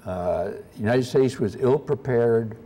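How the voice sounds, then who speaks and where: A soft-spoken man giving a slide-show history lecture at a convention, halting often with uhs. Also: mid-range voice. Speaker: guest lecturer.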